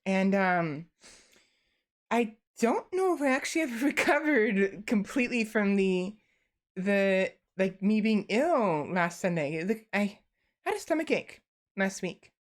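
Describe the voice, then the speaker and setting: A woman's voice: medium pitch, expressive, measured pace. A tired young woman streamer chatting casually and rambling to her viewers, with lots of restarts and fillers.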